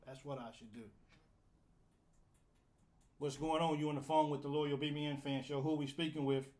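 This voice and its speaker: A man's voice: a little high, flat delivery, moderate pace, darkish tone. A man hosting a live fan call-in show, casual and flustered while fixing his audio setup. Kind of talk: casual live-stream chat.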